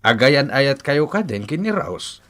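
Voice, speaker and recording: A man's voice: mid-range voice, very animated, a little slow, a bit bright. An actor playing a nosy, folksy middle-aged father in a Filipino radio drama, with an Ilocano accent. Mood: prodding. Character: nosy, prodding father pushing a young man to court. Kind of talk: Philippine radio drama acting.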